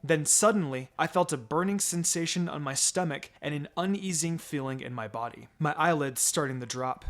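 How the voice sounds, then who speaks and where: A man reading fan fiction as a dramatic first-person narrator, with halting pauses and background music. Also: fairly high pitch, fairly bright tone. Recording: music behind the voice.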